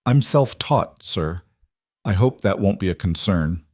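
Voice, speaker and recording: A shy young hotel cleaner woman, voiced by a man in a dry, close storybook reading. She talks in a nervous, halting way, her voice lifted above his usual low pitch. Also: lively intonation, darkish tone, no echo. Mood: steady. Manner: timid, hesitant, babbling.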